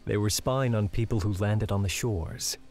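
A man's voice: fairly low pitch, normal speed, darkish tone, soft background music, lightly acted. A man playing a confident, amused seafaring adventurer in a fantasy audio drama, with a theatrical, wry delivery.

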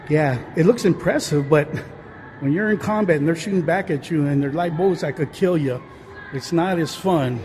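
A man with a fairly high voice narrates a casual tour as he walks through a large indoor mall, filming on a handheld phone. He rambles, trailing off into fillers and restarting his sentences. Faint hum of the mall behind him. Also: normal speed, darkish tone. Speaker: vlogger touring a mall. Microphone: handheld phone camera, speaker off screen.

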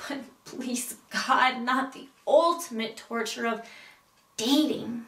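A woman's voice: a little high, fairly bright tone. Actress playing a neurotic, melodramatic single woman, echoey room. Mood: pleading. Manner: melodramatic, deliberate dramatic pauses. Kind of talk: comedic stage monologue. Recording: echoey room, distant mic.

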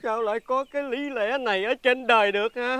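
A man's voice: very high voice, a little fast, noisy background. A man playing a poor, pitiful old beggar in a folk-tale drama, pleading plaintively. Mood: protesting. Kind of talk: theatrical folk-tale TV acting.

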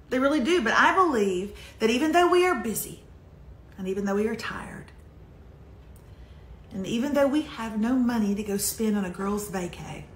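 A woman's voice: a little high, a bit bright, slight background noise. A middle-aged American woman giving a motivational talk to camera, emphatic and dramatic with sarcastic humor. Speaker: online show host and relationship coach. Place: home kitchen, indoors. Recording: roomy, echoey kitchen sound.

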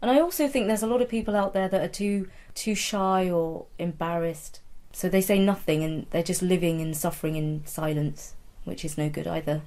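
A woman's voice: lowish voice, steady tone, normal speed, hardly any pauses, warm tone. A woman interviewer talking conversationally, candid and reflective as she asks questions and shares her own thoughts.

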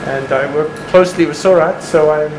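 A man's voice: a little high, fairly even intonation, a little fast. A man from New Zealand chatting in a seminar, asking people friendly questions. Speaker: seminar participant. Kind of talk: casual chat. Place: classroom. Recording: echoey room with noise.

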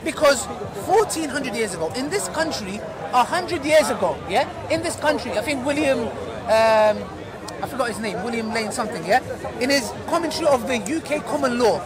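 A man argues his case as an open-air preacher, his voice sitting high. He keeps checking in with his listeners through quick tag questions. A loud crowd fills the outdoor square around him. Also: animated. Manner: persuasive, ends points with "yeah?" tag questions. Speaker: street preacher. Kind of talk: open-air debate with onlookers. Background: loud crowd chatter.

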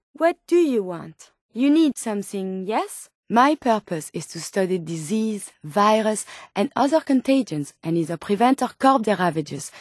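Polite french-accented lady robot scientist from a video game, formal and earnest, highish voice, dry sound. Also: a little mellow, no room sound. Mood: dutiful. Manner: formal, precise, carefully worded. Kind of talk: video game character dialogue.

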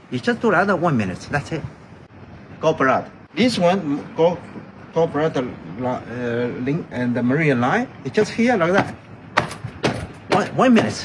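A man's voice: on the high side, very noisy. A middle-aged man, not a native English speaker, leading an exercise demonstration outdoors in short, simple, repeated phrases and counts. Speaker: health exercise instructor. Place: outdoor terrace by a brick building.

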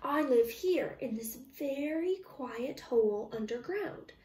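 A woman's voice: sits high, lively intonation, slow pace, a little mellow. A woman voicing a helpful mole in a children's storybook, obliging and singsong. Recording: roomy, echoey, distant microphone.

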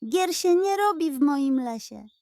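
A woman's voice: high-pitched, lively intonation, a little slow, a little mellow, dry recording, studio quality, voice pushed up. A woman voicing a grumpy old male turtle who scolds and growls indignantly in a children's story. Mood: angry, scolding.

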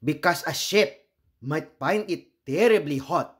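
A man from the Philippines hosting a read-aloud for children, telling the story in a deliberate, expressive manner. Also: fairly high pitch. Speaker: host reading children's books aloud.

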